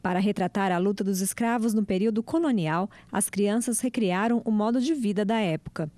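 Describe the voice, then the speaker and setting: A woman reporter narrating a local TV news feature in a measured, clear way. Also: medium pitch, warm tone, dry recording.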